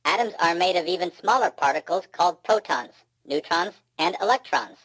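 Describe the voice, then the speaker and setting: A man's voice: sits high, lively intonation, normal speed, a bit bright, no echo, voice pushed up, poor audio. A man voicing a precise, exasperated know-it-all robot in a children's storybook, with a stiff synthetic tone and clipped, pedantic delivery.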